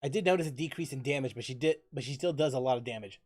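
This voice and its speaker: A game streamer chatting casually while playing, thinking aloud, repeating phrases and breaking into loud exclamations. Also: medium pitch, very fast. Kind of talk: casual livestream chat and gameplay commentary.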